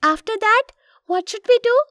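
A woman's voice: very high voice, normal speed, fairly bright tone, no room sound, clean studio sound. A woman voicing an eager, curious young child in a children's cartoon, with an inquisitive manner.

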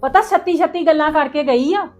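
A woman's voice: high voice, normal speed, a little mellow, faint music behind, lightly acted. A woman playing a reproachful mother. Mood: scolding. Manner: reproachful, lecturing. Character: worried, reproachful middle-aged mother. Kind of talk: Punjabi family drama.

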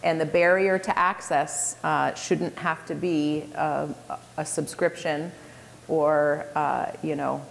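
Woman, middle-aged, low voice, earnest and measured, panel talk, reverberant conference hall. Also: fairly even intonation, creaky. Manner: earnest, measured, with frequent fillers. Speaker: state official answering questions on a panel. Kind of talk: panel conversation. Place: large conference ballroom. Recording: long hall reverb, faint room noise.

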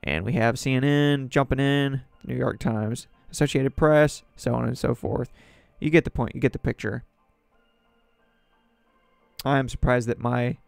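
Raspy-voiced man hosting a home podcast. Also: medium pitch, no echo. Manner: chatty, wry asides. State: sore throat. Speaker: podcast host. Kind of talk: solo news commentary podcast. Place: small home studio with flags and sign. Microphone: studio mic on boom, headphones.

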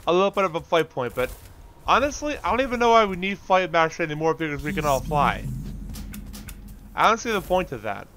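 A man's voice: on the high side, big pitch swings, a little fast, a bit bright, slight background noise. A man doing casual, offhand gameplay commentary, half talking to himself as he plays. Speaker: gamer host narrating own play.

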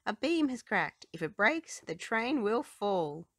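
A woman's voice: medium pitch, very animated, slow pace, fairly bright tone, no echo, clean studio sound, pitched above the performer's own voice, exaggerated voice. A woman voicing an eager, confident boy who leads rescue pups in a storybook, with rallying calls. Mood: urgent.

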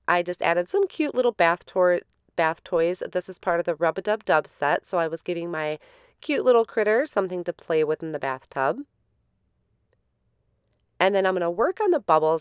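A woman hosting a card-making tutorial in a relaxed, step-by-step voice-over, a little stuffy from a head cold. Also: lowish voice, clean tone, no echo, clean studio sound. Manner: relaxed, step-by-step explaining with fillers.

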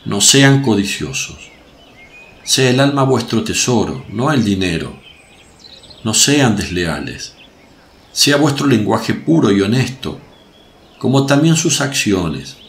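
Man acting compassionate male preacher, solemn, low-pitched, measured, religious radio play, faint birdsong noise. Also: very animated, exaggerated voice. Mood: commanding.

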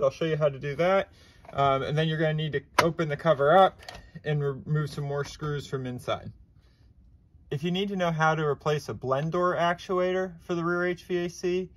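A man in his thirties calmly walking through a car repair step by step, with a few ums, recorded inside a car. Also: on the high side. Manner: plain, methodical instructions with frequent ums. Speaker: DIY repair channel host. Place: inside a car, small enclosed cabin.

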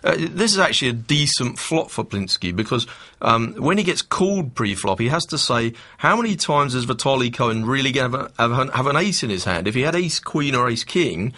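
A man's voice: medium pitch, lively intonation, a little fast, pushed and bright. A man giving expert poker commentary, analytical and full of restarts. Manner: analytical, restarts and self-corrections.

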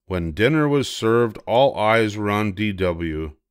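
A man's voice: lowish voice, animated, slow pace, smooth, no room sound, studio quality. An older man narrating a children's picture book expressively. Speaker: storybook reader.

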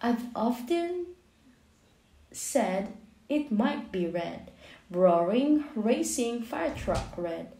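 A woman reading a picture book aloud for young children in a measured, expressive way with deliberate pauses. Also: fairly high pitch, roomy sound, low-quality recording. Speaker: children's book reader, channel host.

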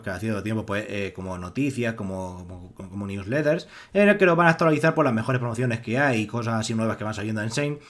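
A young man with an accent from Spain narrating a screen-recorded tutorial, rambling with many fillers and restarts. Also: mid-range voice. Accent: Spanish from Spain. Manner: rambling, heavy use of filler "pues" and restarts. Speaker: online money-making tutorial host.

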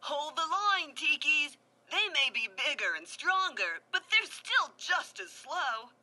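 A woman voicing a calm, brave male tiki who leads his tribe with reassuring commands. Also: fairly high pitch, a little slow, slightly rough, pitched above the performer's own voice, heavily acted. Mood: commanding.

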